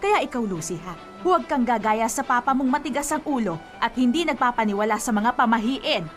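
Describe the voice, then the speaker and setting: Woman doing bossy worried old filipino lola in a radio drama, highish voice, bit of music behind. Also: expressive, fairly quick, natural voice. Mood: scolding, anxious. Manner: scolding, fretful, insistent. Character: superstitious, worrying, insistent elderly Filipino grandmother.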